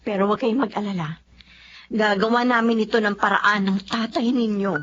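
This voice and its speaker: A woman's voice: medium pitch, fairly even intonation, normal speed, fairly bright tone, lightly acted. An actress playing a worried, apologetic middle-aged Filipina mother in a TV drama, with a fretful way of talking. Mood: apologetic, practical. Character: worried, apologetic, doting mother of a poor family. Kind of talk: Filipino television drama acting. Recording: muffled audio with background hum.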